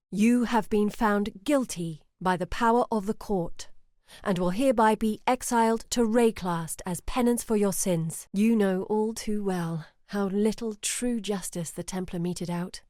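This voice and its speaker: A woman's voice: mid-range voice, a little mellow, dry recording, clean studio sound, close to an everyday voice. An actress playing an earnest, brooding woman scholar in a video game, with a reflective, theatrical delivery. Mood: stern. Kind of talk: dramatic video game voice acting.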